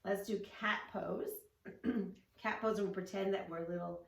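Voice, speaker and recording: A woman leads a children's read-along mixed with simple yoga instructions. She is gently encouraging and full of praise, with a mid-pitched voice. The recording is echoey, picked up some way from the microphone. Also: fairly even intonation, moderate pace, creaky. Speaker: storyteller and children's yoga teacher. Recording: roomy, echoing, distant microphone.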